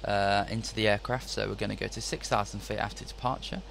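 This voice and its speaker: Low-voiced man hosting a tutorial. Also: quick pace, creaky, a little hiss or hum. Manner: relaxed, explains step by step, occasional slips. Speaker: host of flight-sim tutorial. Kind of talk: casual tutorial walkthrough.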